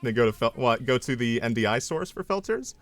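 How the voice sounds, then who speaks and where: A young man chatting casually on his live stream, mocking himself and breaking into loud triumphant yells. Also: medium pitch, lively intonation, brisk, no echo, soft background music.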